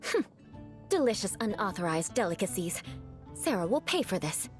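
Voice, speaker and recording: A proud young woman knight, voiced by an actress who talks in a dry, haughty tone, with her pitch rising and falling lively. Her sardonic lines come over soft game music. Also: normal speed, lightly acted. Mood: wry.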